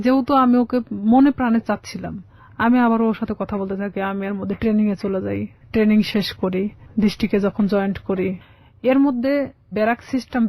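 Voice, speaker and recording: Casting: young Bangladeshi Bengali woman, interview guest recounting their life, quick delivery, close dry sound. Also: mid-range voice, dry recording, lo-fi sound. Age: young adult. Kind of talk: personal storytelling in a radio interview.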